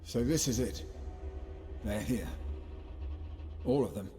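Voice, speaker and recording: An actor playing a menacing, commanding bounty hunter in a video game, speaking in curt, deliberate threats. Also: male voice, mid-range voice, dark tone, a little hiss or hum, natural voice. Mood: urgent, determined. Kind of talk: video game cutscene acting. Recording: reverberant hall with music behind.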